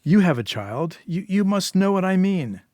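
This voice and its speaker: A man's voice: sits high, very animated, normal speed, darkish tone, no echo, clean studio sound, voice pushed up. Man reading as a firm, desperate mother. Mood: insistent. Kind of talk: dramatised story reading.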